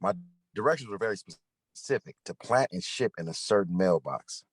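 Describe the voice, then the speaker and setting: A man's voice: on the low side, lively intonation, moderate pace, husky, no room sound, studio quality. A man playing an anxious, evasive street hustler in a table-read drama, speaking casually and with slang. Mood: nervous, defensive. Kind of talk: scripted table-read acting.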